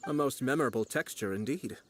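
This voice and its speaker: A man's voice: mid-range voice, lively intonation, music in the background, close to an everyday voice. Man voicing a composed young noble strategist. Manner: composed, measured, formal. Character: calm, refined young male noble strategist. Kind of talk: video game voice acting.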